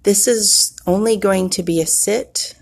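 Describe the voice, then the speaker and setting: A woman leading a guided meditation in a soothing manner, with long pauses and a husky, breathy voice. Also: mid-range voice.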